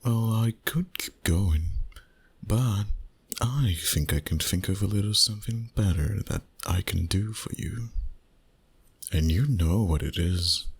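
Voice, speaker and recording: A man voicing a flirty, dominant vampire boss in an intimate, teasing ASMR roleplay, soft and breathy. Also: lowish voice. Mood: hungry, seductive. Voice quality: breathy, husky, often near a whisper. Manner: slow, soft, teasing seduction.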